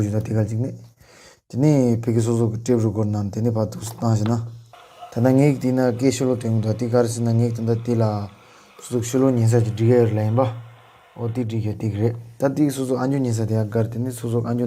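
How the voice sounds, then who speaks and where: Male tutorial presenter, low and fairly monotone, unscripted, with halting phrasing. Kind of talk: unscripted software tutorial narration.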